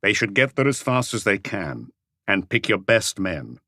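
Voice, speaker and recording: Man playing a calm, terse police inspector, low-pitched. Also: no echo, studio quality, full character voice. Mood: brisk, grumbling. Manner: measured, unhurried, laconic. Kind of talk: audiobook character voice.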